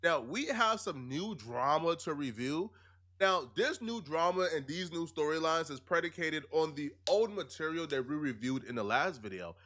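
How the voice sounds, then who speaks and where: A man's voice: fairly high pitch, animated, normal speed, a bit bright. A man giving explanatory commentary in an emphatic, rhetorical way with streetwise phrasing.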